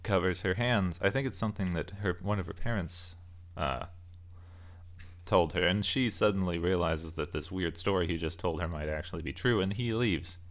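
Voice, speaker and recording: A man's voice: deep voice, moderate pace. A man hosting a video channel, giving a casual, off-the-cuff opinion commentary and thinking aloud in broken phrases. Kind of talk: casual opinion rant about game movies.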